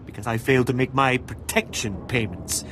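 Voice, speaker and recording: A man's voice: medium pitch, brisk, fairly bright tone, a little hiss or hum, close to an everyday voice. A man playing an aggrieved, impassioned alien citizen in a video game, with formal wording. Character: desperate, indignant male alien pleading for his mate. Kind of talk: video game dialogue acting.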